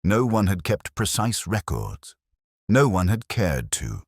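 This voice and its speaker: Male actor as brooding middle-aged detective narrator: extremely low voice, expressive swings in pitch, literary and measured. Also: no room sound, studio quality, heavily acted. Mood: brooding, reflective. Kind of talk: scripted first-person mystery narration.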